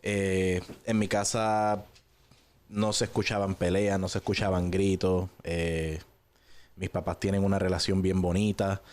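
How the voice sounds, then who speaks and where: Puerto Rican male guest, lowish voice, unhurried conversational testimony with hesitant pauses. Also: level tone, a little mellow. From Puerto Rico. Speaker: guest giving a personal testimony. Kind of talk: relaxed conversational storytelling.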